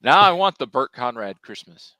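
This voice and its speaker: A man's voice: mid-range voice, big pitch swings, normal speed, sharp tone, dry recording, poor audio. A man on a panel, chatting casually and joking along with the others.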